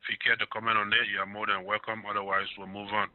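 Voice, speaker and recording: A man's voice: on the low side, level tone, fast talker, no echo. A man hosting a call-in radio show, taking callers and asking probing questions in a conversational way. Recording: dry phone-line audio.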